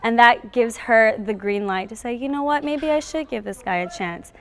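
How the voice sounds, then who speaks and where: A woman's voice: on the high side, normal speed, a bit bright, long reverb tail. A teenage female student actress talking casually in an interview about her part. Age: teens.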